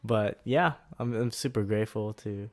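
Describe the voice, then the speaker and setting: A man's voice: lowish voice, normal speed. A man in his twenties, co-hosting a podcast in a laid-back, rambling way, often laughing. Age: 20s. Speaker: podcast co-host. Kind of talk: casual chat.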